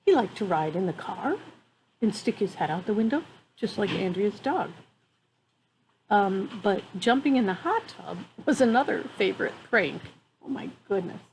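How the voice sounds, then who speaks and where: A woman's voice: mid-range voice, moderate pace, low-quality recording. A woman in her fifties reading a picture book aloud to children outdoors, with amused, chatty asides. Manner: animated, amused, exclaiming. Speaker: children's storyteller. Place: outdoors on a wooden deck among trees. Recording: faint outdoor ambient noise.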